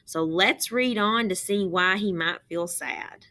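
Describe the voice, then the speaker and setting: A woman reading a picture book aloud as a teacher, pausing to explain and ask young children questions. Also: fairly low pitch, pushed and bright.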